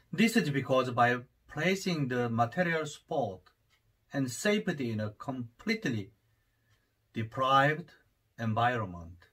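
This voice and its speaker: A priest in his forties with a Korean accent gives a homily to camera in his study. He speaks unhurriedly, pauses often on fillers, and grows more fervent at times. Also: medium pitch, fairly bright tone, slightly rough. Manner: unhurried, many hesitations, rising fervor. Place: study with bookshelves, indoors. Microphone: camera at desk distance.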